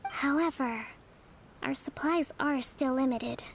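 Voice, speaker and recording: A woman playing a composed, caring young resistance leader in a video game, speaking in a formal, measured, earnest way. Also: high voice, vocal fry, a little hiss or hum, exaggerated voice. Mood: grave. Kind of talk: scripted video game dialogue.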